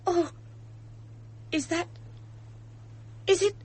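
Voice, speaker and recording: An actress playing an impulsive, confident young woman amateur sleuth in a radio drama, brisk and emphatic. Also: very high voice, a little mellow, full character voice. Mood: horrified.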